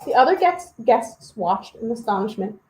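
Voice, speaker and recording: A woman hosting a children's story hour, reading expressively with animated, chatty asides to young listeners. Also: on the high side, moderate pace, echoey room, low-quality recording.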